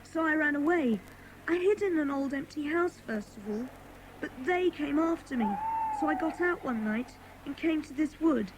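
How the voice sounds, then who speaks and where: A woman's voice: high-pitched, animated, moderate pace, background noise. A woman playing a frightened but defiant young runaway boy, plaintive and guarded, in a children's audio drama. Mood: plaintive, confiding.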